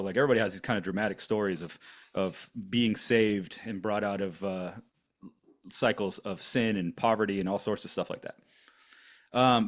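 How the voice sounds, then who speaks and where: A man in his forties from Germany, whose first language is German, telling his story on a podcast in a rambling way with many fillers and restarts. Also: lowish voice. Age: 40s. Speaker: podcast co-host. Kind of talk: casual podcast conversation.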